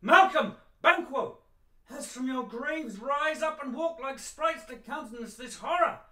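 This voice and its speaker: Man acting a high-voiced, urgent nobleman, echoey. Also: expressive, measured pace, sharp tone, full character voice. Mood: horrified, urgent. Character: urgent, loyal Scottish nobleman, horrified by murder. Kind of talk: classical Shakespearean radio drama acting. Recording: echoing room sound.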